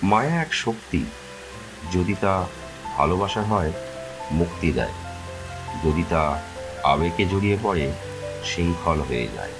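A man telling a scripted horror story as a dramatic narrator, with measured, suspenseful delivery. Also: deep voice, sing-song, rough recording. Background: music.

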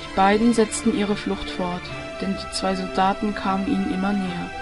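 A woman's voice: mid-range voice, flat delivery, normal speed, over loud music. A woman reading scripted narration for an audio drama.

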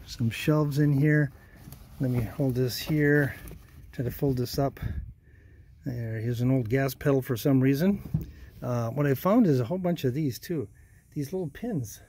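A man giving a casual, unhurried walkaround of an old car as he films it with a handheld phone, pausing often with ums and uhs. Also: medium pitch, husky. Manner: unhurried, plain-spoken, many ums and uhs, trails off quietly. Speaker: vintage car restoration vlogger. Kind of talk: casual walkaround narration behind a handheld camera. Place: old car in a garage and driveway. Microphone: phone camera held in hand.

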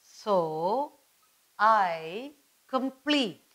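A woman's voice: mid-range voice, animated, very slow, fairly bright tone. A middle-aged woman with an Indian accent teaching children, repeating and stressing key words in a didactic way. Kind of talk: children's lesson at a whiteboard. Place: indoor studio in front of a whiteboard.

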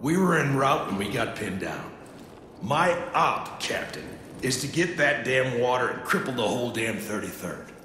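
An American actor playing a gruff, cocky, middle-aged CIA field agent in a video game, folksy and condescending. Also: male voice, medium pitch, expressive, normal speed, sharp tone, a little hiss or hum. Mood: insistent. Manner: folksy, condescending, tough-talking. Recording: echoey game scene with battle ambience.

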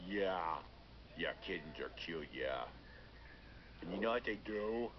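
A man voicing a nervous, literal-minded llama, awkward and friendly, halting and repeating himself, as a character on a children's radio phone-in. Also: low-pitched, animated, pitched below the performer's own voice, full character voice. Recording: muffled, noisy re-recording off a speaker.

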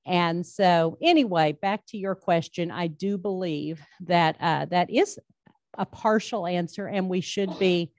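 Low-voiced woman at a podium. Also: measured pace, darkish tone, dry recording, studio quality. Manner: folksy, emphatic, self-deprecating. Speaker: state labor commissioner speaking to a club. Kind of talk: public speech with audience questions. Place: meeting room, standing at a podium. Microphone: handheld microphone.

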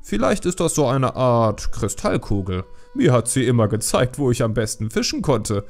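A man's voice: mid-range voice, sing-song, moderate pace, darkish tone, soft background music, lightly acted. A man voicing a cheerful, eccentric panda fisherman in a storybook, with an exuberant, chuckling delivery. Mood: amused, giddy. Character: cheerful eccentric long-lost panda fisherman, giddy and carefree.

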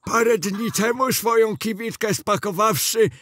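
High-pitched old village grandpa. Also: male voice, a bit bright, no echo, clean studio sound. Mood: folksy, friendly. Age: older. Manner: drawn-out rustic peasant speech. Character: folksy old village grandfather, friendly, nosy, helpful. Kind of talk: audio drama acting.

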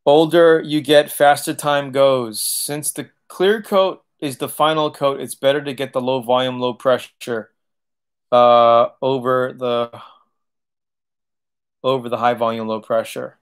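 A man's voice: medium pitch, fairly even intonation, measured pace, clear, resonant tone. A man in his late thirties hosting a casual livestream Q&A from his living room, talking loosely, laughing often and swearing now and then. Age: 30s. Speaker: auto body channel host. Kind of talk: casual livestream Q&A reading chat. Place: living room, seated on leather couch.

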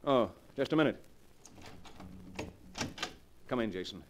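A man's voice: mid-range voice, animated, takes it slowly, a little hiss or hum. An actor playing a domineering, frightened wealthy businessman in 1940s radio style, commanding and impatient.

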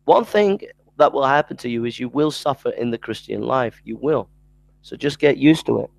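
Middle-aged male lecturer, earnest, gravelly voice, dry headset sound. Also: mid-range voice, expressive, normal speed, darkish tone, no room sound. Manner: earnest, repeats scripture references, stumbles and restarts. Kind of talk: recorded Bible lecture. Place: small room at home. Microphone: headset mic.

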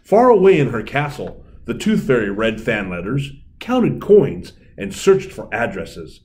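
A middle-aged man reading a children's story aloud in an expressive, deliberate way. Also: mid-range voice. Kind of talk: storybook read-aloud, bilingual. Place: indoor room, seated at a table.

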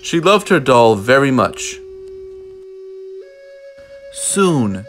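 A man reading a children's picture book aloud in an expressive way, with deliberate, dramatic phrasing. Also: mid-range voice. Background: music.

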